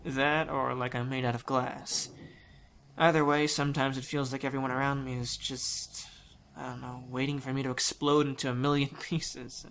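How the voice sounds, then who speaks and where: A weary young man confides with wry humour, voiced by an actor in an audio drama. His mid-pitched voice sits over faint background ambience. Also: little pitch movement, moderate pace, gravelly, a bit bright, a little hiss or hum. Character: weary, self-conscious young man, wryly good-humoured.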